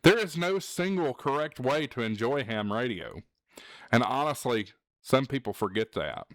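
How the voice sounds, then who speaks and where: Male channel host, earnest, plain-spoken advice vlog, mid pitch, dry recording. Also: lively intonation, moderate pace, a bit bright, no room sound. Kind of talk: candid advice vlog to viewers.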